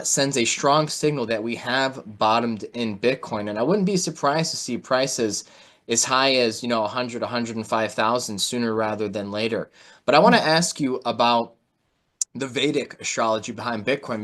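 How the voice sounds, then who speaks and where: A man's voice: mid-range voice, fairly bright tone. A man hosting an online interview, giving informal, conversational market commentary peppered with "you know". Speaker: host and market analyst. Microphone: video call.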